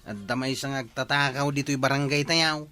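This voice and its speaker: A man's voice: mid-range voice, fairly even intonation, brisk, bright, forceful tone, soft background music, lightly acted. A man with a Filipino Ilocano accent playing an insistent, suspicious village official in a colloquial comedy drama. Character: male village official, suspicious, quick to accuse.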